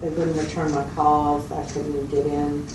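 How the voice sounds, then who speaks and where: Woman acting as a reserved female client in a dramatized interview, low voice, plainspoken, noisy echoey room. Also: flat delivery, unhurried. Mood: discouraged. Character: reserved, somewhat frustrated female mental health client. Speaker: mental health client. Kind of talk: acted clinical interview. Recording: roomy, distant mic, noticeable background noise.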